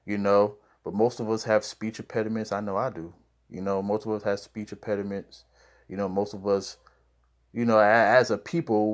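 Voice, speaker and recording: A man preaching informally to camera, explaining scripture in a conversational way and checking in with "all right?". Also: fairly low pitch. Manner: conversational, with repeated "all right?" and "you know".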